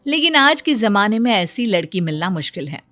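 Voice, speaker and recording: A woman's voice: mid-range voice, very animated, moderate pace, bright, forceful tone, no echo, soft background music. A woman reading scripted narration for a Hindi cartoon story.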